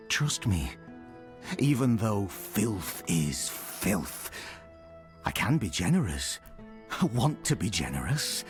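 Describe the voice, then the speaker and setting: A creepy, obsequious scavenger peddler from a fantasy video game. He speaks slowly in a mid-pitched voice that swings expressively, fawning and abasing himself as he lingers over each phrase. Music plays faintly behind him. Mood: eerie, pleading. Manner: slow, lingering, obsequious, repeats words, chuckles. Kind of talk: video game character dialogue.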